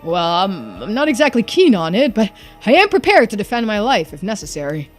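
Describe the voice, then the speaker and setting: Woman playing an earnest, theatrical gentleman hero. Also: mid-range voice, huge pitch swings, fairly quick. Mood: resolute. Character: kind gentleman hero, earnest, quietly resolute. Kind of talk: video game character dialogue read-aloud. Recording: music behind the voice.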